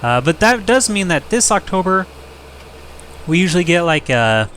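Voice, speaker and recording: A young man hosting a farming game let's play, slightly hoarse and rough-voiced, rambling and joking at himself as he thinks aloud. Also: sits high, big pitch swings, normal speed, fairly bright tone, slight background noise. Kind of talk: casual gameplay commentary.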